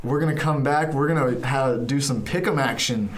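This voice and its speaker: Young guy cohosting a sports podcast, joking around, mid pitch, in a college radio studio. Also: normal speed. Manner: joking, teasing. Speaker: podcast co-host. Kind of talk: casual sports chat.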